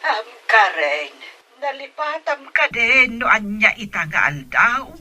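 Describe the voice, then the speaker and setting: A woman playing a gentle, concerned grandmother in a radio drama, advising and soothing her grown daughter. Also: on the high side, big pitch swings, slow pace, heavily acted. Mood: disappointed.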